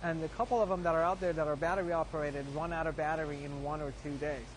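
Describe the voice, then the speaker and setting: Higher-pitched man, noisy background. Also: fairly even intonation, fast talker. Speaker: company spokesman. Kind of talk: promotional interview. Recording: clear background noise.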